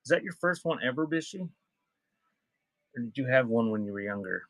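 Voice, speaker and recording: A man with a creaky, fry-laden voice at an average male pitch hosts a live sale. He talks casually and off the cuff, moving between listing items and chatting to viewers. The sound is close and dry. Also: lively intonation, a little fast, a bit bright, dry recording. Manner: off-the-cuff, addresses chat viewers by name. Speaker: live-stream auction seller of vintage music. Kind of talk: casual live-selling chat, reading out items.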